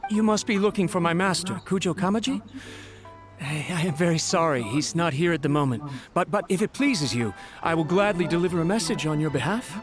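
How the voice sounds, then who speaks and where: A man's voice: a little high, lively intonation, brisk, over music, close to an everyday voice. A man voicing a nervous, deferential young clan retainer in a video game, hesitant and very polite. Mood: flustered, deferential.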